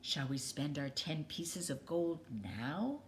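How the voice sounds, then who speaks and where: A woman reading the part of a calm, shrewd schoolteacher in a storybook, measured and firm. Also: low-pitched, heavily acted. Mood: instructive. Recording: clearly noisy background.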